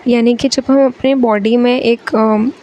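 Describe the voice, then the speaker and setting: A young woman with a sore throat, teaching a chatty exam-prep biology lecture, switching between Hindi and English and slowing down to read English lines. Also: fairly high pitch, fairly even intonation, mellow, slight background noise.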